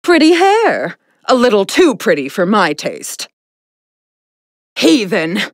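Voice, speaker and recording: A woman's voice: mid-range voice, wildly swooping pitch, slow, no echo, clean studio sound, full character voice. A woman voicing a vain, imperious yet playful young sun goddess for a video game, with a pompous, theatrical, condescending delivery. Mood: haughty, smug. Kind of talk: video game character voice lines.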